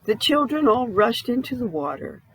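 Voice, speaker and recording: Animated woman reading a children's story. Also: on the high side, normal speed, creaky, a little hiss or hum, low-quality recording. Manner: dramatic, asks the listener questions. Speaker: storybook reader. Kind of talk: expressive children's story narration.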